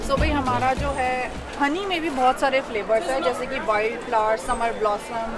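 A chatty young woman walks an outdoor farmers market with the camera at arm's length, talking to viewers and mixing in English. Her voice sits a touch high. Loud music and a dense crowd fill the background. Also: fairly even intonation, normal speed. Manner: chatty, addresses viewers as "bhai", mixes English in. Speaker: vlogger. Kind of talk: casual vlog chat. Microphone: handheld camera at arm's length.

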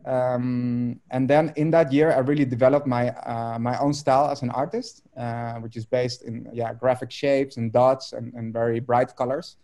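Man, 30s, Dutch native speaker, mellow warm tone, halting with lots of ums, video call from home. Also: medium pitch, little pitch movement. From Netherlands. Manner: hesitant, many fillers and restarts. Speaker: artist guest. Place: home studio room.

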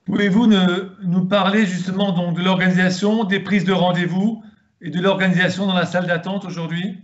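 A man's voice: high-pitched, steady tone, a little fast. A man in his sixties asking formal, prepared interview questions over a video call. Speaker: interviewer, host. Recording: dull, lo-fi video call audio.

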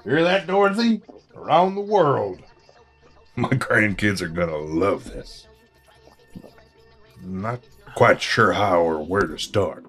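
A man's voice: fairly low pitch, expressive, takes it slowly, pauses often, a bit bright, slightly rough, faint music behind, heavily acted. An actor playing a genial man in his seventies in an audio drama, chatting in a folksy, rambling way. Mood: excited. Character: portly, genial grandfatherly traveler in his seventies. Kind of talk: audio drama dialogue as a casual interview.

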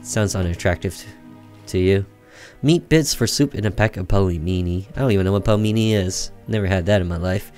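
Low voice guy playing a game n reading it out, bit of music behind. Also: a little fast, a little mellow. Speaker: gamer doing a let's play, reading game text aloud. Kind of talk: casual gameplay commentary mixed with read-aloud narration.

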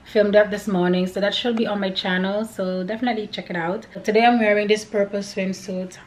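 Young female vlogger, mid-range voice, informal chat to camera, clear background noise. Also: mid-range voice, fairly even intonation, fairly quick, reverberant. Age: young adult. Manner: informal, addressing viewers as y'all. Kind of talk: casual vlog chat to camera.

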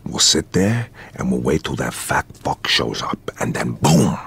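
An actor playing a hard-boiled American mob hitman, cold-blooded, terse and deadpan, with a rough, deep voice. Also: a little slow, heavily acted. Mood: grim, confident.

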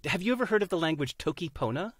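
A man's voice: high voice, lively intonation, rapid-fire, breathy edge, no room sound, studio quality. A man in his fifties from the United States, a guest chatting on a video call, thoughtful, searching for words and laughing easily. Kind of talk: casual interview chat. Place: home room, indoors.

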